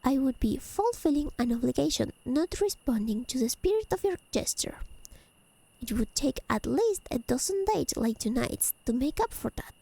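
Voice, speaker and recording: A high, mellow voice with a creaky edge from a woman playing a haughty fae lady of the high realms. She is teasing and possessive, with elegant, formal phrasing. It is soft-spoken ASMR roleplay, with crickets chirping in the background. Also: big pitch swings, moderate pace, no room sound, exaggerated voice, rough recording. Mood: exasperated.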